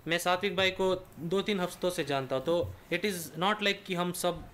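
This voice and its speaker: Man speaking halting Hindi with English fillers. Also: high-pitched, fairly bright tone, slight background noise. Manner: halting Hindi with many "you know" fillers and English words. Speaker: podcast co-host. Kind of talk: casual podcast chat.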